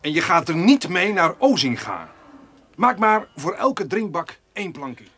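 An actor playing a brisk, sceptical farmer in a radio play, dry, colloquial and teasing. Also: male voice, sits high, big pitch swings, a bit bright, husky, heavily acted. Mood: bargaining. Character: Hidde Govers, brisk, sceptical male farmer. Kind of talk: naturalistic radio play dialogue.

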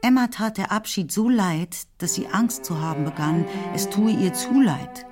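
A woman giving scripted literary narration in a measured storytelling manner. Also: mid-range voice, lively intonation, soft background music.

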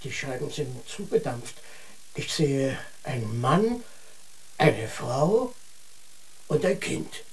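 An actor playing a dutiful, formal and deferential court marshal of a make-believe court in a German children's radio drama. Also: male voice, medium pitch, very animated, slow pace, long pauses, breathy edge, soft background music, full character voice, low-quality recording. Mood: hushed, cautious.

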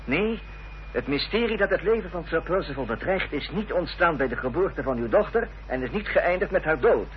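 An actor playing a determined, formal gentleman in a radio drama, earnest and insistent, on a dull, noisy old recording. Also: fairly high pitch, lively intonation, brisk, close to an everyday voice. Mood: grave, accusing. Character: determined, formal gentleman confronting an enemy's accomplice.

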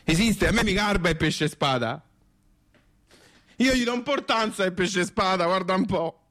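Weary, stubborn Tuscan husband. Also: male voice, sits high, lively intonation, a bit bright. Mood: indignant. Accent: Tuscan Italian. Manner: colloquial, halting, repetitive. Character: weary, put-upon married man, stubborn and plaintive. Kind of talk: theatrical radio drama dialogue.

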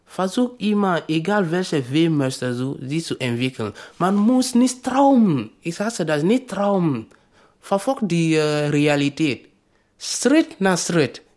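A man's voice: a little high, sing-song, slow, darkish tone. A man who is not a native German speaker gives motivational advice in emphatic short phrases.

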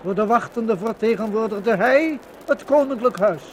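High-pitched, declamatory male narrator. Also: animated, normal speed. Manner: declamatory, formal, solemn. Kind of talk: scripted 1950s radio documentary narration. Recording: noisy 1950 radio recording.

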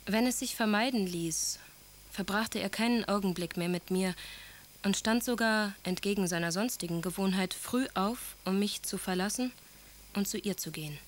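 A woman's voice: medium pitch, normal speed, lightly acted. Actress as a composed, wounded young noblewoman. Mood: wounded, restrained. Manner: composed, literary, confessional. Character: wounded, devoted young aristocratic English wife, 1815. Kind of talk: German radio drama monologue, memoir-like recollection.

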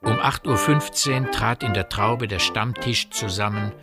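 A low male voice narrating a radio play in measured, literary prose. He is sober and detached, articulating carefully over clearly audible music. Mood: measured, dry.

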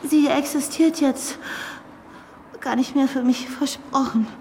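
Lonely insecure woman in a radio play, voice a bit high, intimate, noisy ambience behind. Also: fairly even intonation, a little slow, darkish tone. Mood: submissive, anxious. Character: Moira, insecure, lonely woman confiding her thoughts. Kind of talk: radio drama, intimate inner monologue and dialogue.

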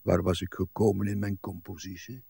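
An actor playing a weary, self-absorbed old husband in a literary radio drama, telling things in a dour, hesitant way with a husky voice. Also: male voice, deep voice, little pitch movement, warm tone, dry recording, heavily acted. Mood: dour, musing. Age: older. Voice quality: husky, breathy. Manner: dour, deliberate storytelling with hesitations.

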